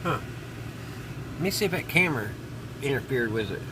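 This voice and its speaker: Male hobbyist vlogger, casual and halting, folksy demo style, average pitch, noticeably noisy recording. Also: animated. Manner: folksy, halting, thinking aloud. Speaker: hobbyist vlogger demonstrating vintage radio. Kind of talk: casual hands-on demonstration to viewers.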